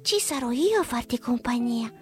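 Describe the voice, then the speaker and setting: Woman plays tiny female firefly, high and breathy-soft, calm and reassuring. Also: moderate pace, faint music behind, lightly acted. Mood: gentle.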